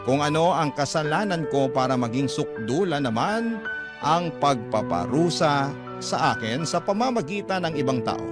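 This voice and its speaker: A man with a Filipino accent reading a scripted first-person story as a radio drama narrator, in a dramatic style. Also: mid-range voice. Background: music.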